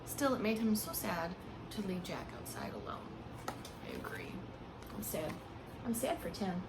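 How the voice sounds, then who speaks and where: A woman reading a picture book aloud like a preschool teacher, with expressive, hushed emphasis and simple asides to small children. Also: lowish voice, a little slow, heavy background noise. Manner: simple explanations and questions addressed to small children. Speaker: pre-K teacher.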